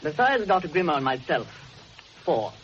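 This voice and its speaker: An actor playing a reserved, dutiful secretary in a radio drama, answering in careful, formal, exact sentences. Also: male voice, on the high side, very animated, moderate pace, a bit bright. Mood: reserved, precise. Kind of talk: full-cast radio mystery drama acting. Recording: muffled noisy old radio recording.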